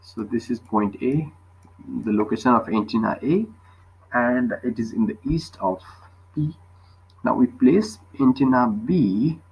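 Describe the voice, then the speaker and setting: A man's voice: medium pitch, vocal fry, husky, rough recording. A man tutoring physics, explaining a worked problem step by step and often checking with short questions.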